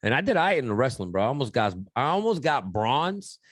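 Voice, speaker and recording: A man hosting a casual podcast, telling stories in a slangy, animated way. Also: medium pitch, fast talker, dry recording, studio quality. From born in New York, raised in Pittsburgh. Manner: animated storytelling, slangy, acts out quotes loudly. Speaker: podcast host, radio and TV personality.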